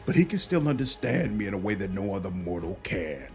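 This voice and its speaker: An actor playing an ancient, arrogant locust demon lord in a video game, rasping and speaking slowly and grandly. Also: male voice, low-pitched, very animated, soft background music. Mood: contemptuous, grandiose. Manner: slow, grandiose, speaks of himself in third person. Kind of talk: video game villain acting.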